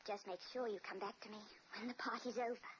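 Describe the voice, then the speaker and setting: A woman's voice: fairly high pitch, steady tone, fast talker, dull audio, lightly acted. Lady doing a sweet coaxing invalid wife, old radio drama, lots of tape hiss. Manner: affectionate, coaxing. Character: bedridden wife, tender, self-sacrificing. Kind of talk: 1940s radio acting. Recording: hissy old radio recording.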